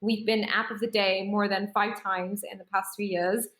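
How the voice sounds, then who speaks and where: A woman from Singapore, a startup founder, telling her story in a measured, articulate way in a podcast interview. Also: mid-range voice, little pitch movement, a bit bright, echoey room.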